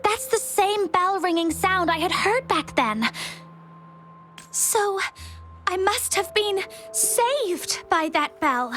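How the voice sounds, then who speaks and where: A woman's voice: very high voice, expressive, takes it slowly, a bit bright, full character voice. A woman voicing an earnest, openly emotional young female explorer in a video game dub, with music behind her. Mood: reflective, grateful.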